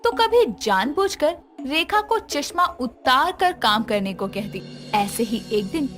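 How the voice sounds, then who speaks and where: A woman narrates a scripted bedtime-style tale. Her voice is on the high side and swings expressively through each sentence. Faint music plays behind her. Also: big pitch swings, slow pace, a bit bright. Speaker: story narrator.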